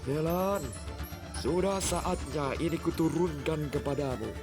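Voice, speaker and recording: Pria memerankan tetua tegas yang bicara lambat. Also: male voice, a little high, music in the background. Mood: solemn. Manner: deliberate, admonishing. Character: stern male elder guiding a young man. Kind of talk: comic dub voice acting.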